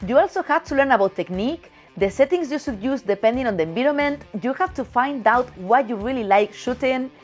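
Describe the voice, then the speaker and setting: A woman's voice: on the high side, animated, brisk, few pauses, soft background music. A woman in her thirties from Spain with a Spanish accent, talking frankly and chattily to camera as a photography vlogger, laughing easily. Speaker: photography youtuber. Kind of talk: casual direct-to-camera talk.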